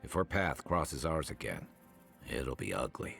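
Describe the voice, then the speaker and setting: A steady fantasy adventurer in a video game, with an extremely low voice. He is measured and level-headed, with music behind him. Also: vocal fry, full character voice. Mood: measured concern. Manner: measured, weighing risks calmly. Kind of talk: dramatic video game acting.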